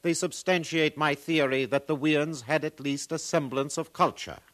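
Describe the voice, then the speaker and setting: A man's voice: on the high side, steady tone, normal speed, fairly bright tone, close to an everyday voice. A man acting a self-satisfied, pompous academic in a 1950s radio drama, speaking pedantically. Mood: smug. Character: self-satisfied male academic defending his absurd theory.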